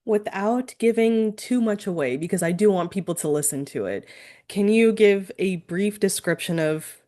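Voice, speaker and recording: A woman's voice: mid-range voice, normal speed, dark tone. A woman interviewing a guest, friendly, laughing lightly as she asks. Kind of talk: interview questions.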